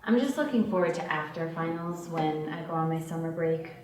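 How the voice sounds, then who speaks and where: A woman's voice: deep voice, fairly quick, close to an everyday voice. A woman acting a stressed, principled young medical student who moonlights as a thief, at a screenplay table read. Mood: weary venting. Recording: very echoey room, distant, noisy mic.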